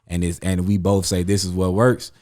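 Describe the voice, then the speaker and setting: A man hosts a relaxed chat among friends. He talks in loose, rambling stretches full of slang and fillers. His voice sits on the low side. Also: normal speed. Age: 30s. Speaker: podcast host.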